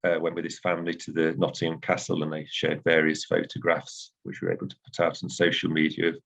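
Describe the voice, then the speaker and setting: A man's voice: rumbling low, fast talker, gravelly, fairly bright tone. A man giving a hesitant update at a meeting, with many fillers. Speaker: meeting speaker. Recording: dull lo-fi sound.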